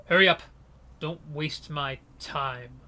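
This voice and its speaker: A man's voice: fairly high pitch, measured pace, bright, forceful tone, a little hiss or hum. A man voicing a cold, mocking male gangster leader who gives orders in a detached, sneering way.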